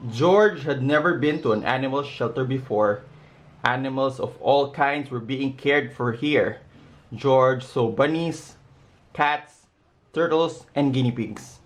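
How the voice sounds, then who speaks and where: A man's voice: medium pitch, takes it slowly. Man reading a children's picture book aloud. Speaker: storybook reader.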